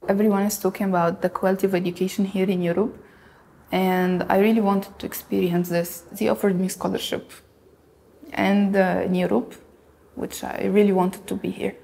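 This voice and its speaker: A twenty-year-old woman from Cairo with an Egyptian accent, speaking earnestly in a documentary interview and pausing often on fillers. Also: mid-range voice, little pitch movement, gravelly, mellow. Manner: earnest, with frequent hesitations.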